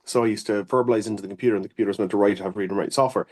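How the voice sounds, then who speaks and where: A man's voice: fairly low pitch, fairly even intonation, very fast, darkish tone. Earnest Irish man, rambling answers. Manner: earnest, rambling with many restarts and fillers. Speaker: occupational therapist. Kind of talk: conversational interview answers.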